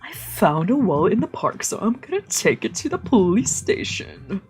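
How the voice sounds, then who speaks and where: A woman's voice: mid-range voice, big pitch swings, normal speed, a little mellow, faint music behind. A woman voicing a friendly, chatty, doting character from a visual novel, eager and caring.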